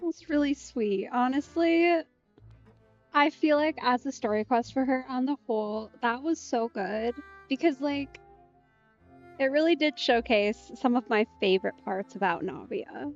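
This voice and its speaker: Higher-pitched woman chatting over a game. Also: unhurried, lo-fi sound, faint music behind. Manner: chatty, reflective. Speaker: gaming streamer. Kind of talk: casual let's play commentary.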